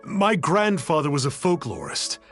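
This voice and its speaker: A man's voice: a little high, wildly swooping pitch, normal speed, over music. An actor playing a nervous, repentant male fraud exorcist, stammering and reverent. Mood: earnest.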